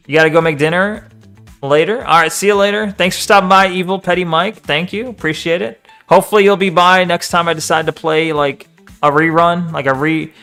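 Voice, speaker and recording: Brief: animated male streamer, a bit high-pitched, bantering with chat. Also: clean tone. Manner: animated, swears, talks back to the screen. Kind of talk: casual live-stream chat and video reaction.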